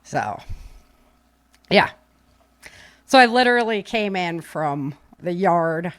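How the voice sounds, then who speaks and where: A woman's voice: lowish voice, lively intonation, slow, dry recording. A woman chatting casually and informally as a live podcast host, with breezy, slangy talk. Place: home office.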